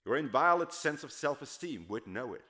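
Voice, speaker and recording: A man performs a literary monologue as a dramatic audiobook reading. His delivery is declamatory and emphatic, with a very animated pitch that rises and falls widely around a middle register. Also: moderate pace. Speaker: literary reader performing a novel character's monologue.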